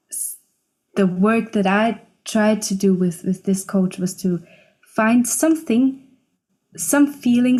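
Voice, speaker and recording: A singer-songwriter in her twenties speaks as a guest in a casual interview. She is a German speaker. Her voice is soft-edged and dark, at an average pitch for a woman, and she talks reflectively. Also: rough recording. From Switzerland. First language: Swiss German. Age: 20s.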